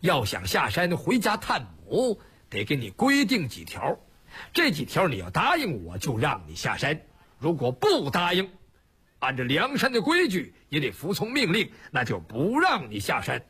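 Male storyteller as composed outlaw leader, lowered husky voice, deliberate and admonishing. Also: huge pitch swings, bright, forceful tone, heavily acted. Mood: stern. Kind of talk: traditional Chinese storytelling performance.

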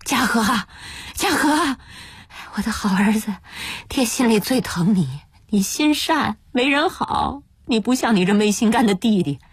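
A woman playing a desperate, high-wailing addict father. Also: sing-song, slow, dry recording, clean studio sound, exaggerated voice. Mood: pleading. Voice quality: hoarse, strained. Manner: wailing, pleading, shouting. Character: desperate opium-addicted father begging and cursing in withdrawal.

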